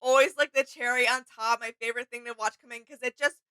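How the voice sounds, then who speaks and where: A woman's voice: on the high side, fairly even intonation, fast talker, sharp tone, smooth. A woman co-hosting casual reaction commentary, speaking in an agreeable, appreciative way. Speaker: reaction video co-host. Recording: faint music behind. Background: music.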